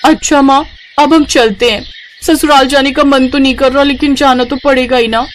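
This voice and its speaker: A woman's voice: sits high, steady tone, moderate pace, a little hiss or hum, voice pushed up, natural voice. A woman voicing a demanding, whiny young married sister-in-law in a moral story. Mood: wheedling. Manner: whiny, pushy.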